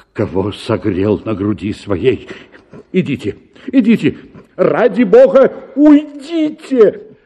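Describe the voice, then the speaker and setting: A man's voice: very high voice, a little mellow, heavily acted. A male actor with a Russian accent playing an exasperated, stubborn older father-in-law, blustering and repeating himself in a theatrical radio comedy. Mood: disgusted. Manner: blustering, repetitive, drawn-out exclamations. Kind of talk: theatrical radio drama acting of a classic comic story.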